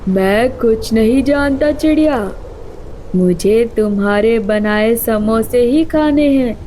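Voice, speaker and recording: A greedy cartoon vulture, acted out by a woman, bullies and demands in a menacing manner. The delivery is unhurried and the tone mellow, over faint background noise. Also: on the high side, poor audio. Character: greedy bullying vulture demanding samosas, threatening.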